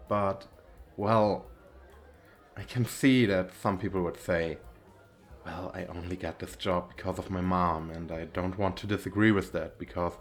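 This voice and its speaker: A man playing a nervous, bashful, well-off young businessman on a date in an ASMR roleplay, with hesitant, stammering speech. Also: low-pitched. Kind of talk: ASMR roleplay, one-sided conversation with the listener.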